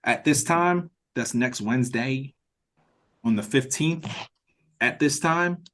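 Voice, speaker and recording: A man leading an online meeting in casual chat, speaking informally and haltingly as he thinks aloud. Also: mid-range voice, a bit bright, slight background noise. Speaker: writer-director leading the meeting. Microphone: video call.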